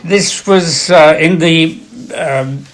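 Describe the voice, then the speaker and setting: An older man reading literary pieces aloud and introducing them informally, with measured delivery and expressive emphasis. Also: a little high. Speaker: reader of poetry and quotations.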